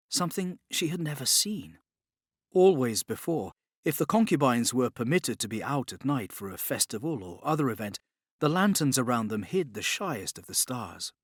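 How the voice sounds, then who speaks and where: A man's voice: mid-range voice, slightly rough, no room sound, studio quality. A man reading scripted dramatic narration as an audiobook narrator.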